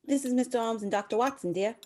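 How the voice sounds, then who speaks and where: A woman playing a gossipy, sharp-tongued village housekeeper in a radio play, brisk and folksy. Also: a little high, close to an everyday voice. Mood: brisk, tart.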